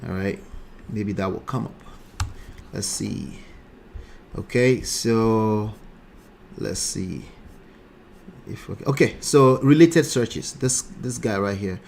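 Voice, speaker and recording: A man in his forties with a Nigerian accent, teaching a live-streamed online lesson in a casual, chatty way from a small dark room, wearing headphones at a desk mic. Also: lowish voice, takes it slowly, creaky, long pauses, slight background noise. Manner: casual, chatty, repeats himself, pleads with viewers. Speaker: online marketing coach.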